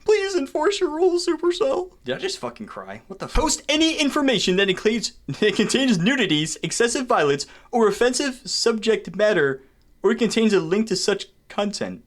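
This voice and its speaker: A man with a high-pitched, very animated voice reads a document aloud. He treats it irreverently, with sweary, mock-indignant asides, and his pitch moves widely as he goes. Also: normal speed. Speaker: content creator reading terms of service aloud.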